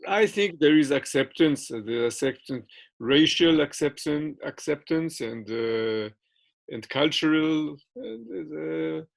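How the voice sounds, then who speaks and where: Guy answering interview questions slow n gravelly, lots of uhs, english isnt his first language. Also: mid-range voice. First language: not English. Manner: hesitant, many fillers and restarts.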